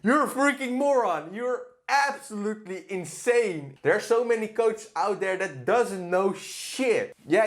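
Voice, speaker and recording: A man who works as a fitness coach, reacting to a clip in a blunt, profane rant. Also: high-pitched. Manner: blunt, profane, ranting with laughs. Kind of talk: casual reaction commentary.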